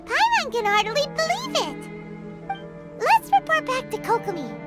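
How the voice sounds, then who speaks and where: A woman voicing a tiny, excitable, childlike fairy companion in a video game, with a squeaky voice and an exclamatory manner. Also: a little slow, heavily acted. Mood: impressed. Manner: exclamatory, refers to self in third person. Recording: game music behind voice.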